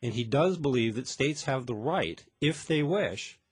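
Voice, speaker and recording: Husky man debating politics, haltingly. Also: medium pitch, animated, no room sound, studio quality. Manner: hesitant, repeats and restarts phrases. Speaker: political guest on a radio call-in show.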